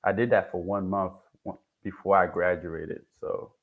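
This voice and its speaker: A young man from Haiti, a technician guest in a casual interview, speaking softly and haltingly with frequent restarts. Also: low voice, fairly even intonation, narrow, tinny audio. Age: young adult. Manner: soft-spoken, halting with repeated restarts.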